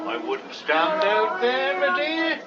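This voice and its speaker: An eccentric male professor in a radio play, jocular and tetchy by turns. His voice is high and swoops about in an old-fashioned, brusque way, recorded dull with noise behind. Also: very animated, slow pace, loud music behind the voice, heavily acted. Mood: teasing. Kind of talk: radio drama acting. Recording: muffled radio recording, noisy ambience.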